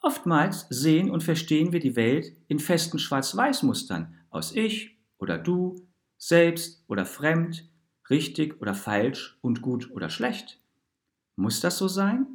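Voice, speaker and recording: A man's voice: a little high, very animated, unhurried. A man voicing a passionate, well-travelled mother in a story, speaking earnestly, eloquently and reflectively about herself. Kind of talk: storybook narration in character.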